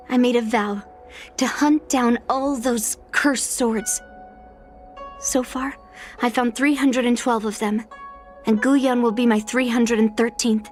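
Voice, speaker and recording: A woman voicing a stubborn, resolute young swordswoman in a video game, speaking in an earnest, deliberate way. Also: a little high, lightly acted. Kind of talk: dramatic video game voice acting.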